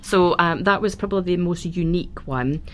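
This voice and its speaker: A woman guest on a radio show, chatting warmly about her work as a celebrant, with frequent fillers and restarts. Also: on the low side, fairly quick. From Scotland. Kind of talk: casual radio interview. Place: radio studio. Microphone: studio microphone.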